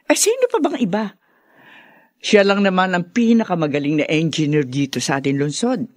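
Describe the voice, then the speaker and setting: An actress playing a doting, brash middle-aged Filipino mother in a radio drama, expressive and teasing. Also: fairly low pitch, moderate pace, no room sound, clean studio sound, lightly acted. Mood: proud, playful.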